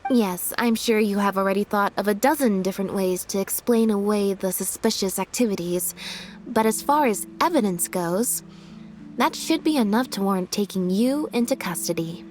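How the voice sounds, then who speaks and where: A woman's voice: medium pitch, normal speed, darkish tone, a little hiss or hum, close to an everyday voice. Woman acting a cool, detached young sleuth. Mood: cool, deductive. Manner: composed, matter-of-fact, detached. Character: cool, blunt, analytical young woman detective. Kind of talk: dramatic video game voice acting.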